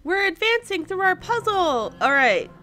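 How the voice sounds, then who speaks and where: A woman's voice: very high voice, very animated, a little slow, sharp tone, soft background music. A woman giving casual let's play commentary as host, thinking aloud and exclaiming in surprise as she goes. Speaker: host of a gaming series.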